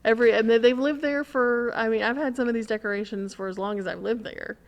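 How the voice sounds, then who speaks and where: A woman's voice: fairly high pitch, fairly even intonation, quick pace, lo-fi sound. An American woman chatting casually on a podcast in a dry, offhand way. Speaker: podcast guest or co-host. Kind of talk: casual chat.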